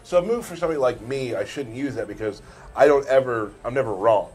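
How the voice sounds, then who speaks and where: A man's voice: medium pitch, animated, fast talker, a little mellow, husky. A man hosting a casual game stream, chatting informally and jokingly as he steers the conversation. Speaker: stream host.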